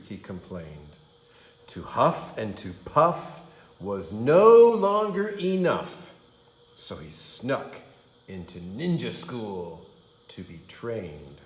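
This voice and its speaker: A man reading a storybook aloud for young children as a teacher, with expressive, dramatic delivery. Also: mid-range voice, echoey room. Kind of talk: animated storybook read-aloud.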